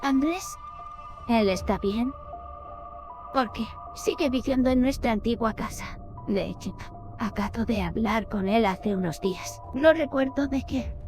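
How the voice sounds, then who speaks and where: Woman playing a vague, high-voiced elderly mother. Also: unhurried, over music, lightly acted. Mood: vague, untroubled. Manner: vague, absent-minded.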